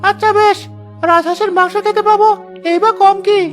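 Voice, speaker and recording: A man's voice: extremely high pitch, flat delivery, a little fast, a bit bright, faint music behind. A man voicing a sly, greedy village sidekick in a cartoon, with a teasing, exaggerated manner. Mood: eager, wheedling. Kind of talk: Bengali cartoon story dialogue.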